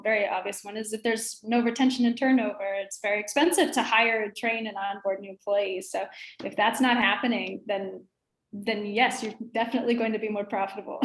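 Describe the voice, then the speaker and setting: A woman moderating a panel over a video call, upbeat and affirming. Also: mid-range voice. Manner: upbeat, affirming, light laughs. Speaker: webinar moderator. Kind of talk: panel discussion. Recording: echoey room on a video call.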